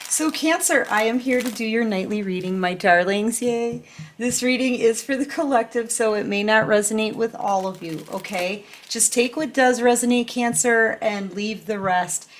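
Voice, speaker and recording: A woman doing a casual tarot reading, chatty and affectionate, full of fillers and restarts, a little sick and clearing her throat. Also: fairly high pitch, moderate pace. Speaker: tarot reader.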